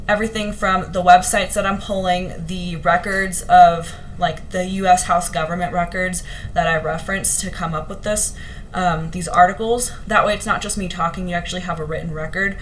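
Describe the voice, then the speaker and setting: A young woman with a slightly hoarse voice, chatting earnestly to her viewers on a vlog, with plenty of ums and restarts. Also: fairly low pitch, slight background noise. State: a little hoarse. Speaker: vlogger. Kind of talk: casual talk to camera to live viewers.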